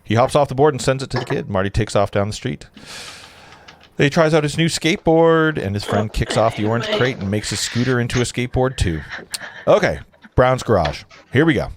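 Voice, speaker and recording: A man's voice: mid-range voice, animated, fairly quick, a little hiss or hum. A man narrating screenplay directions at a casual table read, informal, stumbling and breaking off to comment. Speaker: narrator of stage directions, host.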